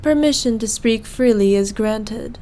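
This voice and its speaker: A woman's voice: a little high, unhurried, warm tone, lightly acted. A woman playing an evil, imperious queen in a scripted roleplay, speaking in a haughty, formal, commanding manner. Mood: tender, gracious. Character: evil imperious queen, cruel to subjects, doting on her knight. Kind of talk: scripted ASMR roleplay acting.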